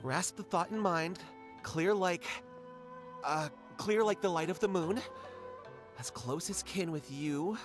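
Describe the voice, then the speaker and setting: A man's voice: a little high, takes it slowly, long pauses, over music. A man playing an earnest, jumpy, stubbornly hopeful struggling writer in a video game, fumbling and pleading. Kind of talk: animated game dialogue.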